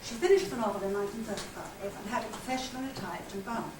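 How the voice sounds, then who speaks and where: A woman's voice: mid-range voice, quick pace. Woman reading in an echoey, noisy room. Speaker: presenter and reader at a literary society conference. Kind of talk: scripted narration with read-aloud letters and diary extracts. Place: conference hall. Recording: echoey room, distant mic, loud noise.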